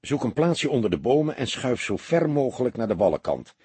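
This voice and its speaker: A man voicing a calm, wry, commanding older heavyset police detective in an audiobook, speaking tersely and with dry, polite firmness. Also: mid-range voice, a little fast, husky, dry recording. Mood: dry, instructive. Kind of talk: audiobook character dialogue.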